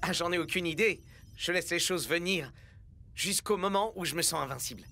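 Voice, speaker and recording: A man voicing a young star striker in an animated series, eager and self-doubting, with an expressive, youthful delivery. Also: fairly high pitch, a little fast. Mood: confident. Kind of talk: French animated series dub acting.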